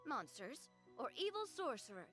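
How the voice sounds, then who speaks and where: Young chatty game girl, adult but high voice, very expressive, music behind. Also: moderate pace. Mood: curious, friendly. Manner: expressive, conversational, prompting. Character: bright, chatty, curious young woman in a fantasy game. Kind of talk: video game voice acting.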